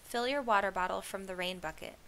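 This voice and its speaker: A woman's voice: medium pitch, fairly quick, bright, forceful tone. A woman voicing a blustery, bossy, scatterbrained woman shepherd in a storybook reading, insistent and brushing objections aside. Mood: bossy, unhurried. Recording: clear background hiss.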